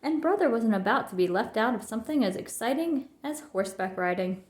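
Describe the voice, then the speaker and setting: A woman reads a children's storybook aloud as narrator. Her voice sits on the high side. The recording is roomy, with a clear echo around the words. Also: animated, a little fast, darkish tone. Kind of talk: children's book read-aloud.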